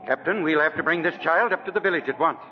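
A man's voice: on the high side, fast, natural voice. An actor playing a sober, kindly village official in a radio drama, formal and concerned in his speech. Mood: surprised, concerned. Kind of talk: 1940s radio acting. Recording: dull, noisy vintage radio recording.

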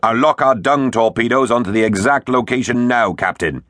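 A man's voice: medium pitch, normal speed, fairly bright tone, no echo, studio quality, voice pushed up, natural voice. A man voicing a brainy, earnest dinosaur ship's officer in a children's storybook, speaking in a precise, explanatory way. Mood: businesslike.

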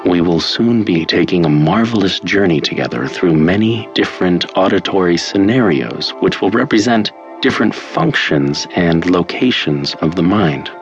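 A man playing a calm, controlling hypnotist who narrates a guided treatment tape in a deliberate, soothing manner. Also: deep voice. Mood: measured, instructive. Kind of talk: guided hypnosis instructional tape in an audio drama. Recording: dull lo-fi cassette-style sound. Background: faint music.

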